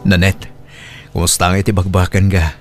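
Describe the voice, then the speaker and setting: A man's voice: low-pitched, level tone, fairly quick, faint music behind, lightly acted. A man with an Ilocano accent playing a tender, devoted middle-aged husband and single father in a sentimental audio drama. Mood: tender, earnest. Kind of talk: Ilocano radio-style audio drama acting.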